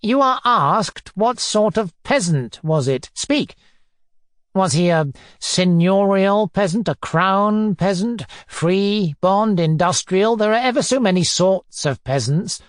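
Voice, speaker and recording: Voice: medium pitch, animated, slow pace, no room sound, clean studio sound, voice pushed up. A narrator voicing a pompous, bullying middle-aged man who hectors and sneers, in an audiobook. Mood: mocking, triumphant. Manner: pompous, majestic nonchalance, hectoring questions. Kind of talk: audiobook character dialogue.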